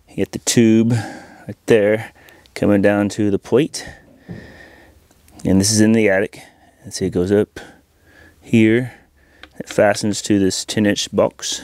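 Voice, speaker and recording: A man's voice: on the low side, no echo. Casual American man, dry close sound. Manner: informal, folksy, chatty. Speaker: audio-video installer and channel host. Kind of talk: casual hands-on install demonstration.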